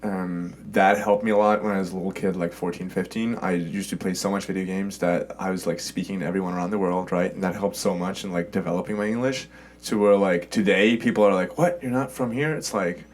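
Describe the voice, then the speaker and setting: A young man who grew up in Sweden, a college swimmer guest chatting casually in an interview, relaxed and full of "like" and "you know". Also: deep voice, fairly quick. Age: young adult.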